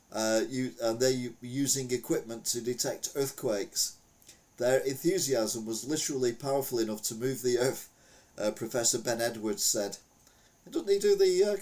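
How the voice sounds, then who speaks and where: A man's voice: medium pitch, darkish tone, distant mic. A British man hosting a podcast, reading out odd news items with a wry, rambling, joking manner and lots of fillers. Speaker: podcast host. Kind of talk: casual news commentary.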